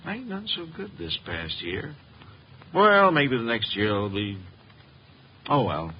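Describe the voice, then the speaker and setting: A man's voice: mid-range voice, very animated, a little slow. A humble, good-natured working husband in an old radio play. His middling voice moves up and down expressively in folksy, colloquial talk. The sound is dull and slightly hissy. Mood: affectionate. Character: poor, good-natured working-class husband playing street Santa. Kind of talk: 1950s radio drama acting.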